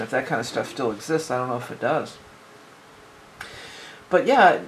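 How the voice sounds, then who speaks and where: Raspy-throated woman hosting a relaxed tarot reading, rambling and conversational, faint room hum behind. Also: low-pitched. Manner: chatty, loose, restarts and asides. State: hoarse, sore throat. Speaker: tarot reader, channel host. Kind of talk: casual tarot reading for viewers.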